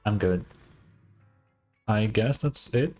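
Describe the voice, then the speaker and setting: A man's voice: fairly low pitch, takes it slowly, darkish tone, soft background music. A man streaming a game, casually reacting and reading on-screen text aloud in an offhand, unimpressed way.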